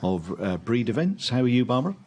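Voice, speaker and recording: A man's voice: mid-range voice, very animated, a little fast, darkish tone, husky. A man hosting a podcast interview, encouraging his guest with frequent backchannel. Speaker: podcast host. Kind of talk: casual interview chat.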